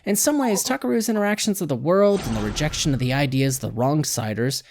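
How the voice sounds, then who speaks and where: A man's voice: on the high side, lively intonation, fast talker, a little mellow, a little hiss or hum. A man reading a scripted video game review as a voice-over narration. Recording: music behind the voice. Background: background music.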